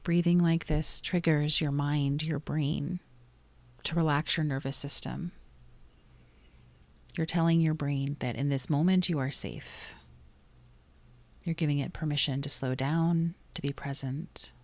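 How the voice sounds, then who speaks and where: A woman narrating a guided meditation in a soothing, gently instructive way, pausing between short phrases. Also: deep voice, fairly even intonation, no room sound.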